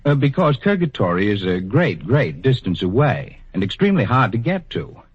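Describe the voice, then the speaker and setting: An actor playing a patient, kindly bureaucrat in 1940s radio drama, measured and officious with small hesitations. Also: male voice, medium pitch, big pitch swings. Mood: patient, official. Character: patient, kindly, bureaucratic male superintendent of the afterlife. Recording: dull, husky old radio recording.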